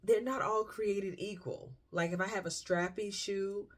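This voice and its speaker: A woman's voice: mid-range voice, steady tone, measured pace. A middle-aged woman with a Southern US accent, chatting casually as a fashion vlogger and acting out her thoughts as she tells stories. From South Carolina, United States.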